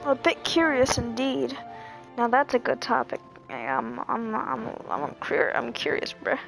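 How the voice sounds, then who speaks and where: Slow-talking, teasing woman streamer. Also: a little high, sing-song, creaky, music in the background, low-quality recording. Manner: playful, teasing, drawn-out talk. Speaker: gaming streamer. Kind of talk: casual reacting over gameplay.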